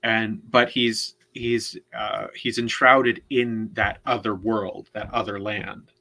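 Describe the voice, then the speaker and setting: A man co-hosting a casual literary discussion, explaining things in a halting, deliberate way and often repeating words. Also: lowish voice, little pitch movement, creaky, sharp tone. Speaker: co-host, literary commentator.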